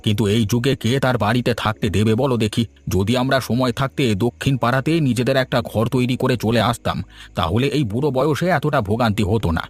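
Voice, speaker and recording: A man's voice: medium pitch, a little fast, slightly rough, no room sound, close to an everyday voice. A man playing a nostalgic, folksy old village husband in a cartoon, often complaining. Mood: plaintive. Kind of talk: cartoon dialogue.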